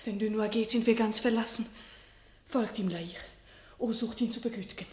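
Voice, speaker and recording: A woman's voice: on the high side, a little fast, a little mellow, lightly acted. An actress playing an anxious young noblewoman in a classic radio play, speaking in an urgent, pleading way. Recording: roomy studio radio recording.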